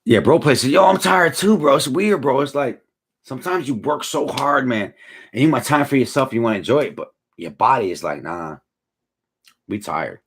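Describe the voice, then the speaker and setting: A tired man in his forties talks loosely through a live stream, his husky voice swinging up and down as he reads out comments. He sounds laid-back and slangy, yawning through it all. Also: medium pitch, moderate pace. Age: 40s. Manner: laid-back slangy, drifts from mumbling to excited. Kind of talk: casual live chat reading comments.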